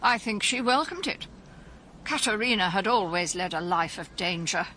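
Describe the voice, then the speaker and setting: An actress playing a dignified, weary older English gentlewoman, genteel and restrained but defensive, in a radio drama. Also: mid-range voice, lively intonation, moderate pace, a little hiss or hum, rough recording. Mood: resigned.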